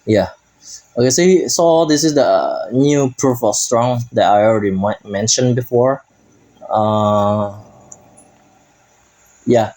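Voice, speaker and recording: A man runs through a game update in a relaxed, unhurried way. His English is halting, and he stops often to search for the right word. His voice sits in a mid range. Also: mid-range voice, low-quality recording. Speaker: gaming YouTuber. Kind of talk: casual game update commentary.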